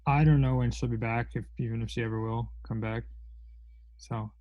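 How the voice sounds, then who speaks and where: A woman's voice: extremely low pitch, fairly even intonation, moderate pace, no echo, highs cut off. A woman playing an easygoing, conflict-avoiding young podcast co-host in a comedy audio drama, rambling and hesitant. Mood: resigned, easygoing. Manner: laid-back, hesitant, rambling.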